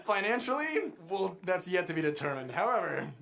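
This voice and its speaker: A young man from Montana, a guest musician in a casual interview, joking about himself in a dry, deadpan way. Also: high-pitched, a little fast, gravelly. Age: young adult. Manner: dry, self-mocking deadpan humor.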